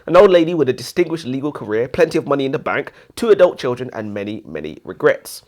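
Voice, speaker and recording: A man's voice: mid-range voice, sing-song, fast, darkish tone. A man co-hosting a casual book review, speaking bluntly with dry humour.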